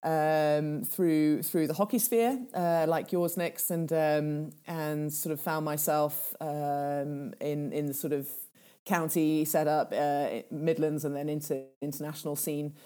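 A woman's voice: low voice, fairly even intonation, unhurried, dark tone, clear, resonant tone. A woman in her thirties from Kenya, a charity founder talking as an interview guest in a thoughtful way, with many fillers and restarts. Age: 30s. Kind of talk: casual interview talk.

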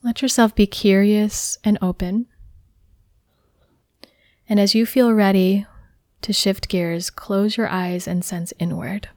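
A woman guiding a body scan meditation in a soft, soothing, unhurried way, with breath at the ends of phrases. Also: mid-range voice, steady tone, dry recording, clean studio sound. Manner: soothing, unhurried, gently instructing. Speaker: meditation guide.

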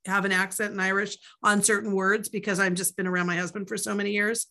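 A woman's voice: mid-range voice, flat delivery, fast talker, bright, forceful tone. A woman author chatting casually in a podcast interview, rambling with fillers and asides. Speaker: author guest.

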